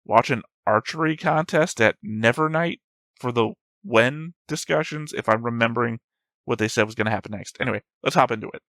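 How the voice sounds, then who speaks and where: A man's voice: medium pitch, a little fast, a bit bright, dry recording. A man hosting an anime reaction channel, talking casually and thinking aloud in a rambling way.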